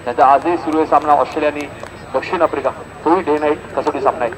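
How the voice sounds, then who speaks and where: A Maharashtrian man with a fairly high-pitched voice delivers live cricket commentary. The recording is from an outdoor ground, and there is clear noise behind his voice. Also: steady tone, quick pace.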